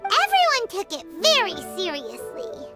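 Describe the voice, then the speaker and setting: A woman voicing a tiny, eager, childlike fairy companion in a video game, with a squeaky and perky delivery. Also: wildly swooping pitch, unhurried, loud music behind the voice, heavily acted. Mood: impressed. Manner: perky, speaks of self in third person.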